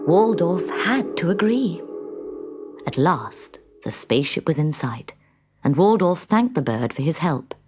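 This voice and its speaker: Casting: female storybook narrator, low register, highly animated and dramatic, music beneath. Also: unhurried. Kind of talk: scripted children's story narration.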